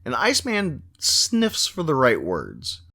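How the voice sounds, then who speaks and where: A man recapping a film for laughs, his pitch bouncing all over the place. He drops dry, mocking asides as he tells it. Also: huge pitch swings, slow, sharp tone, slight background noise. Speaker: comedy recap narrator. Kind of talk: sarcastic comedic film recap narration.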